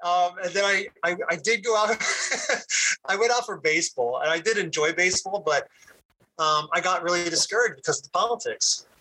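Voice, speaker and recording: A man with a very bright, somewhat husky voice, talking candidly in a casual podcast chat. He stops and restarts often. His pitch sits a touch high. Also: rough recording. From Puerto Rican family. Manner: candid, halting with frequent restarts. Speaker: podcast guest. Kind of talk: casual conversation.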